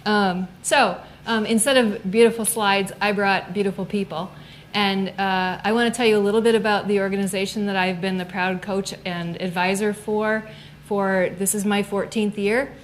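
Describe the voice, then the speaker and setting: A woman's voice: medium pitch, steady tone, moderate pace, slight background noise. A woman, a student club advisor, giving an upbeat, fluent seminar talk in a lecture room.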